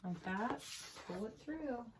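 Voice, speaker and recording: A woman's voice: a little high, animated, slow pace, noisy background. Woman with a strained, recovering voice. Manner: chatty and easygoing, thinking aloud while she works. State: voice strained from recent illness. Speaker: sewing tutorial host. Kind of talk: casual step-by-step craft tutorial.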